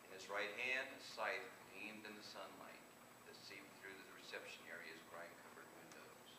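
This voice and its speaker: A man with a fairly low voice gives a live author reading, picked up from across an echoey room. He reads light, humorous fiction aloud and now and then trips on a word and repeats it. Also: fairly even intonation, normal speed. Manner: stumbles over and repeats words while reading. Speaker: author reading own short story. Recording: echoey distant live room recording, noisy.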